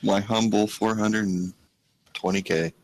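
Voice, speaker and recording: A man's voice: lowish voice, fairly even intonation, a little slow, a little hiss or hum, rough recording. A man co-hosting a podcast with casual banter. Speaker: podcast co-host.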